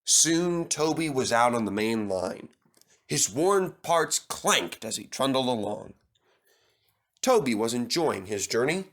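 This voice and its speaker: A man's voice: medium pitch, sing-song, slow pace, a bit bright. A man reading scripted children's stories as narrator.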